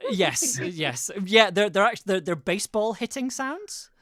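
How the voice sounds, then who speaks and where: Animated, bright, giggly livestream host. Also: mid-range voice, normal speed. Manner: giggly, excitable. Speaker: host and audio drama maker. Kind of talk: casual livestream chat.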